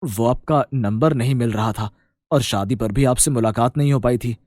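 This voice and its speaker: Man as a low, subdued, apologetic husband. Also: moderate pace, darkish tone, no echo, clean studio sound, lightly acted. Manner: deferential, subdued. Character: troubled businessman husband, subdued, polite and apologetic. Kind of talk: animated moral story character dialogue.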